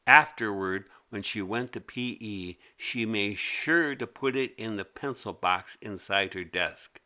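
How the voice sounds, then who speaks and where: Man, storybook narration, unhurried, bright voice, expressive, dramatic delivery. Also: on the low side. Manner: expressive, deliberate. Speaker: children's author narrating his story.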